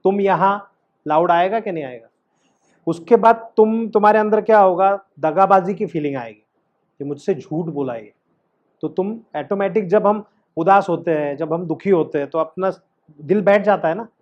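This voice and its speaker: Guy coaching an acting class, kinda high voice, emphatic, keeps asking questions, muffled lo-fi sound. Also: moderate pace. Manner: instructive, emphatic, frequently questioning the student. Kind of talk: teaching an acting class with demonstrated lines and questions.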